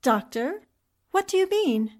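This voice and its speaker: A woman's voice: high voice, sing-song, measured pace, darkish tone. A woman playing a poised, inquisitive newspaper columnist in a 1940s-style radio drama. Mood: curious.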